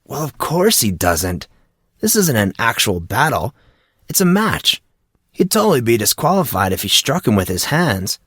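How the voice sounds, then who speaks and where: A man's voice: mid-range voice, sing-song, moderate pace, fairly bright tone, breathy edge, dry recording, clean studio sound. A man voicing a laid-back teenage boy who is keen on martial arts and talks in casual dude-and-bro slang.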